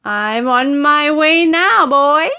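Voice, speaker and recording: A woman voicing a screechy, wild, gleeful ancient grandmother, shouting high above her own voice. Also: expressive, slow pace, exaggerated voice. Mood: gleeful shrieking.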